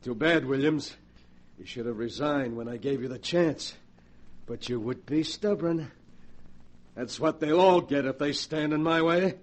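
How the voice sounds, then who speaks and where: A man's voice: a little high, measured pace. A man acting a ruthless, cocky gangster in 1940s radio drama, talking tough in menacing racketeer slang. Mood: cold, threatening. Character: ruthless, cocky gangster who believes himself invincible.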